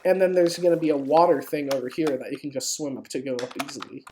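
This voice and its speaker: A woman's voice: deep voice, fast, close to an everyday voice. A young woman chatting casually and effusively in slang while playing a building game. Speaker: player in a multiplayer block-building game. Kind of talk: casual gaming chat, acted in a dramatic reading. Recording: noisy room, keyboard clicks.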